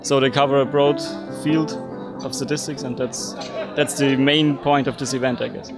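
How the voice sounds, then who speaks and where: A man explains his points briskly in a mid-pitched voice. Music plays clearly behind him. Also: flat delivery. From Austria. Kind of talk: interview answers. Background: background music.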